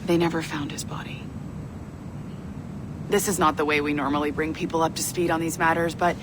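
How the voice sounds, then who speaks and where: A composed corporate executive played by a woman speaks in a fairly low voice for a video game. The delivery is measured, guarded and earnest, over a noticeable background rumble. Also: little pitch movement, close to an everyday voice. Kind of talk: scripted game dialogue.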